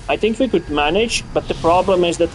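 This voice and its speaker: A man from Finland, casually commenting on a video game and chatting with viewers, relaxed and repeating words as he thinks aloud. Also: high-pitched, fairly quick, rough recording. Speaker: gaming streamer. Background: game music and sound effects.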